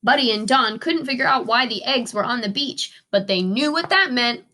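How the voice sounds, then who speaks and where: A woman's voice: fairly high pitch, normal speed, sharp tone. A woman teacher reading a children's story aloud expressively, with dramatic emphasis for young listeners.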